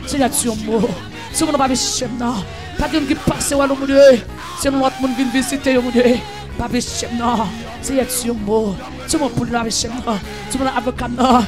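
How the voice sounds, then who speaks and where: A man leading an impassioned prayer, pleading and repeating phrases, half singing and at times shouting. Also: very high voice, steady tone, moderate pace, over music. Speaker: prayer leader. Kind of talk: fervent chanted prayer.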